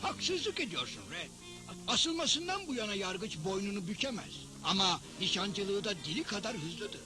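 Talkative cartoon horse pal in a western, high swoopy voice, storytelling away, music behind him. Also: male voice, normal speed. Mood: indignant, wary. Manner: expressive, explanatory storytelling. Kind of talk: dubbed cartoon acting.

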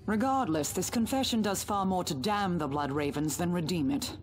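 An actress playing a stern, formal inquisitor in a video game. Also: female voice, on the low side, expressive, normal speed, faint music behind, close to an everyday voice. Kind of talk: video game character acting.

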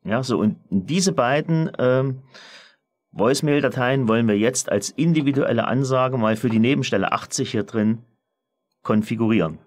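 A man presenting a software screencast tutorial, explaining methodically step by step in a semi-spontaneous walkthrough. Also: mid-range voice, moderate pace.

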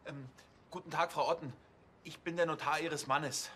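A jittery fake notary. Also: male voice, on the high side, fairly even intonation, pushed and bright, slight background noise. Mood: nervous. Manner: hesitant, halting with fillers. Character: nervous male police officer posing as notary. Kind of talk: TV crime comedy dialogue.

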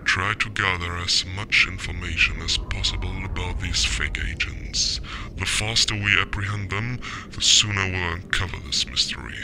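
Deep, gravelly male intelligence chief, acted by a man. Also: noisy background. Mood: ominous. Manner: measured, deliberate, authoritative. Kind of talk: science fiction audio drama acting.

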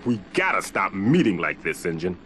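An actor voicing an irritable, sarcastic magical talking mask in a cartoon game, wry and exasperated. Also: male voice, low-pitched, very animated, moderate pace, a little hiss or hum. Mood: weary, stern. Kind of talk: cartoon video game acting. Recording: loud music behind the voice.

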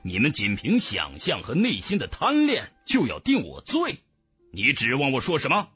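A man's voice: mid-range voice, wildly swooping pitch, normal speed, sharp tone, husky, no room sound, highs cut off, faint music behind, exaggerated voice. A man voicing a defiant, mocking young martial cultivator in a fantasy audiobook, with cool, taunting delivery. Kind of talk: dramatic fantasy audiobook dialogue.

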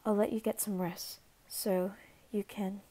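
A woman voicing a flustered, guarded but caring teenage anime boy in an ASMR roleplay, halting and stammering, sometimes whispering. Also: medium pitch, little pitch movement, dark tone, natural voice. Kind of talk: ASMR roleplay, soft scripted acting.

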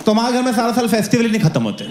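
Young man, stand-up comedian, playful storytelling, lively pitch, roomy club, loud crowd laughter. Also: very fast, very noisy. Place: comedy stage with live audience. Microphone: handheld mic.

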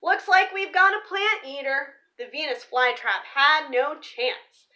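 A young woman presenting to children in an expressive storybook read-aloud style, stressing key words like a teacher. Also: high voice, unhurried. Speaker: teacher reading a picture book aloud for children. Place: small living room or room at home, indoors.

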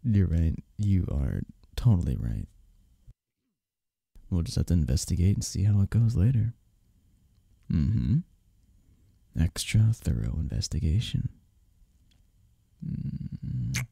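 A man playing a sleepy, affectionate, playful husband in bed, speaking softly and teasingly in an intimate ASMR roleplay, close to the mic. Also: sits low, sing-song, vocal fry, husky, no echo, heavily acted. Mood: sleepy, tender. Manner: soft, drowsy, teasing, unhurried. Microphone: close, dry microphone.

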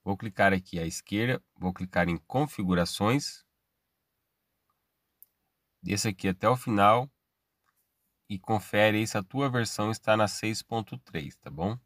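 Low-voiced Brazilian man explaining steps. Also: measured pace, pauses often, a bit bright, dry recording, clean studio sound. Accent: Brazilian Portuguese. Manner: step-by-step, casual, tag questions. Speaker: tech channel host. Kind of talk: screen-recorded tech tutorial.

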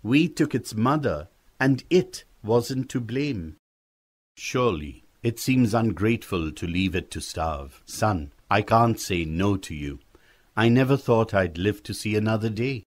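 A man voicing a gentle, weary country father in an audiobook, speaking in a soft, measured way. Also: on the low side, expressive. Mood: tender, weary. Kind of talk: acted audiobook dialogue.

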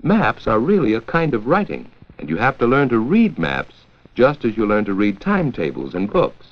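An American man narrating a 1940s educational film from a script, with a clear, measured storytelling delivery. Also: medium pitch, huge pitch swings. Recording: dull, lo-fi 1940s 16mm film soundtrack.

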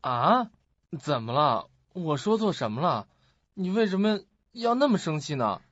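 A man's voice: on the high side, very animated, measured pace, fairly bright tone, dry recording, exaggerated voice. An actor playing an awkward, friendly young male student in a Chinese radio drama. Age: young adult. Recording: dull lo-fi recording.

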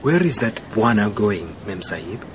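An actor in a radio drama playing a loyal, devout African servant who speaks formally and tersely. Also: male voice, on the low side, very animated, moderate pace, slight background noise. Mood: respectful.

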